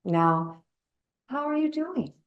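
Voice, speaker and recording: A woman playing a sly, slow-talking tea hostess. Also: high-pitched, big pitch swings, dull audio, voice pushed up, full character voice. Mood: gossipy, insinuating. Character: chatty, sly older female gardener serving herbal tea.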